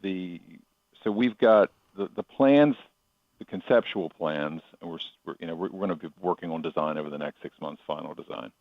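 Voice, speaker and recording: Low-voiced man, slightly dull roomy sound. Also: fairly quick. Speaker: chairman of a racetrack authority, interview guest. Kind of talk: radio interview answers.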